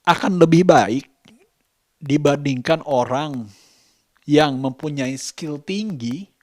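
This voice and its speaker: Slow-talking Indonesian man, halting and thoughtful. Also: fairly high pitch, a little mellow. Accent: Indonesian, Jakarta colloquial. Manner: halting, searching for words, thoughtful. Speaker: vlogger and parent. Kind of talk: reflective casual monologue to camera.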